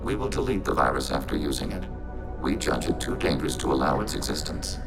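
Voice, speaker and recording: A man playing a calm, logical robot collective in a video game, with formal, clipped, emotionless phrasing and a robotic filter. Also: extremely low pitch, quick pace. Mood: measured, logical. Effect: robotic machine filter. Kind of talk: video game character acting. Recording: game music behind.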